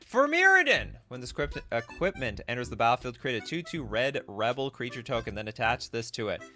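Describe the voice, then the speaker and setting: A man hosting a casual live stream, reading aloud and thinking out loud with frequent exclamations. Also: mid-range voice, very animated, fairly quick, soft background music. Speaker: host of a card game live stream.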